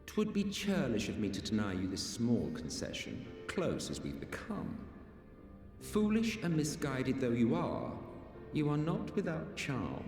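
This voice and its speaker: An actor playing an ancient immortal villain in a video game, theatrical and condescending, with formal archaic phrasing. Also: male voice, mid-range voice, wildly swooping pitch, a little slow. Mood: smug condescension. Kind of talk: dramatic video game acting. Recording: echoey hall, distant, loud music behind.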